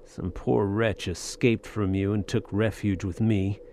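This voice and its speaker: A man playing a gruff, blunt, defiant adventurer in a pulp audio drama. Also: on the low side, fairly even intonation, measured pace, dark tone, slight background noise. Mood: bitter defiance. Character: tough, defiant male adventurer, gruff soldier of fortune.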